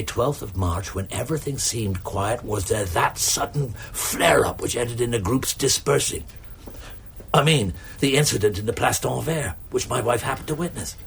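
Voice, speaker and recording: An actor playing a shrewd, drily courteous police chief inspector in a naturalistic radio drama, with measured, understated delivery. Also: male voice, medium pitch, gravelly, slight background noise. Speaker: actor in a detective radio play.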